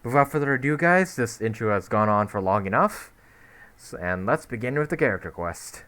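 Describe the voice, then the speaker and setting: A man's voice: mid-range voice, animated, fairly quick, fairly bright tone, slight background noise. A young man casually commentating over a game he is playing, rambling with lots of fillers and snarky asides. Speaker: gameplay commentator. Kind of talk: casual let's-play commentary.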